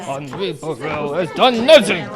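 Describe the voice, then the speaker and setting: A man's voice: on the high side, sing-song, unhurried, music in the background, a crowd in the background. A man playing an indignant Corsican smuggler sailor with a French accent and emphatic broken English, in an old-time radio drama. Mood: aggrieved.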